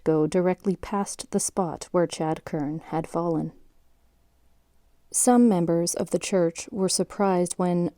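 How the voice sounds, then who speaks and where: A woman playing a sober documentary narrator in an audio drama, reading in a measured, factual way. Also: lowish voice, warm tone, dry recording, studio quality, lightly acted. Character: sober female documentary narrator recounting a case.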